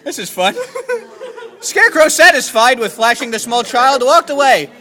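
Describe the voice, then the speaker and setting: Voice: medium pitch, lively intonation, moderate pace, pushed and bright. A person improvising an absurd, profane comic story in a mock storybook narration.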